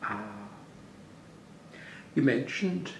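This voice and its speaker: A woman interviewer asking brief, unhurried questions. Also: medium pitch, lively intonation, gravelly. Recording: echoey room, distant from mic, faint noise.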